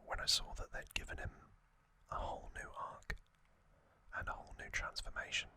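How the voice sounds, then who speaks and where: A man in his thirties whispering casual fan commentary as an ASMR host, soft and unhurried with long pauses.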